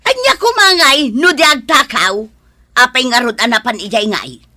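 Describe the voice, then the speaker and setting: Gossipy scolding ilocano neighbour, radio drama, sharp voice, really animated. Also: female voice, sits high, normal speed, full character voice. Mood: accusing.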